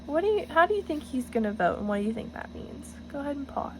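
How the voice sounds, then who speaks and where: A woman reading a picture book aloud to children in an encouraging, teacherly way, pausing to address them directly with questions. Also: sits high, very animated, background noise. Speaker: storybook reader for children, student teacher.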